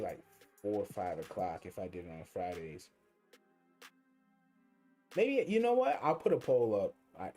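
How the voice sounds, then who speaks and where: Young male streamer, lively pitch, mellow tone, casual slangy rambling, faint music behind. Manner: rambling, slangy, thinking aloud. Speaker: gaming streamer. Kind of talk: casual livestream commentary over gameplay.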